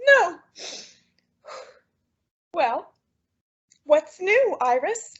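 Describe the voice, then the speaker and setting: A woman's voice: extremely high pitch, big pitch swings, drawn-out words, full character voice. A woman playing an emotional, doting housewife in a theatrical, old-time radio comedy style. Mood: bravely composed. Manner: theatrical, melodramatic, effusive. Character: emotional, dramatic, doting young-ish housewife. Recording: dull, lo-fi audio drama recording.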